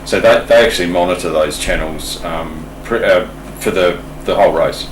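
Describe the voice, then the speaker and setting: A man explaining things informally and haltingly, repeating words, in an echoey room away from the mic. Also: sits low. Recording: echoey room, distant mic, faint noise.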